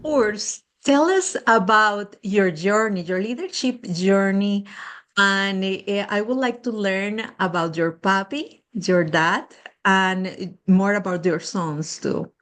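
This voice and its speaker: A woman's voice: medium pitch, takes it slowly, fairly bright tone. A woman with a non-native English accent, hosting a podcast and presenting a guest. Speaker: podcast host. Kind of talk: prepared guest introduction.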